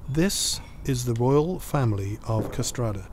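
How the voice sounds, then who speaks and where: An actor playing a stern, sceptical military commander in a science-fiction audio drama, speaking formally and with authority. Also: male voice, mid-range voice, lively intonation, measured pace, a little mellow, slight background noise, natural voice. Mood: briskly commanding.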